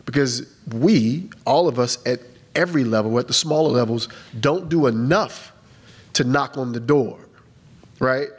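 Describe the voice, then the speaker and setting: A man's voice: medium pitch, measured pace, slightly rough. A man on a film panel answering a question in a conversational, emphatic way, often checking in with "right?". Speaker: panelist, casting and film producer. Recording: long hall reverb.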